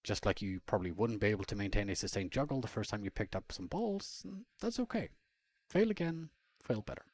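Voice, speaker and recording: A man with a husky voice reads a scripted instructional piece in a measured, explanatory way, with conversational asides. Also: lowish voice, lively intonation, darkish tone. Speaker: blogger and Scrum trainer reading own blog post.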